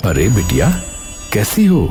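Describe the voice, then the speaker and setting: Mysterious gentle stranger, played by a man: deepish, very slow, coaxing, cartoon story, faint music. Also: wildly swooping pitch. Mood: gently coaxing. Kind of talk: animated cartoon story dialogue.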